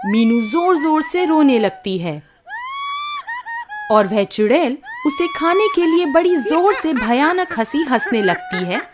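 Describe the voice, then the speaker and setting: Female narrator for children's moral tales: high, animated, expressive scripted read. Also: slow, shouting, noisy background. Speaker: storyteller. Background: faint background music.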